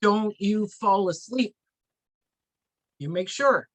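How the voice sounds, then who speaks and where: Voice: mid-range voice, slow, no echo, pitched above the performer's own voice. Storyteller putting on a stern, exasperated medicine man. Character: stern, exasperated male medicine man scolding his helper.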